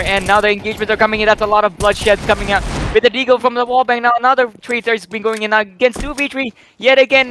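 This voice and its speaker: A man with a slightly croaky voice, calling live game action in a rambling, excitable play-by-play. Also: high-pitched, slight background noise. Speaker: esports caster.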